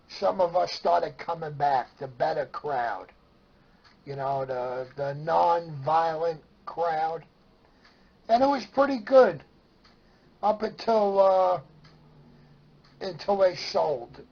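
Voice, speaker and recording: A middle-aged man with a Brooklyn New York accent and a husky, gravelly voice, casually reminiscing in a plainspoken, meandering way. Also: on the high side, slow, pauses often, lo-fi sound. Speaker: storyteller sharing memories of CB radio.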